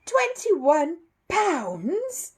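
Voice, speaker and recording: Woman as a young boy bear, storybook character, polite, earnest, easily astonished, widely swinging pitch. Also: wildly swooping pitch, drawn-out words, a little mellow, heavily acted. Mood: alarmed. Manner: emphatic, earnest.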